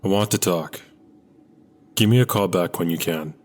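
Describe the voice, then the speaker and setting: A man's voice: low-pitched, normal speed, close to an everyday voice. An actor playing a young man leaving earnest, vulnerable phone messages for his estranged sister in a radio drama.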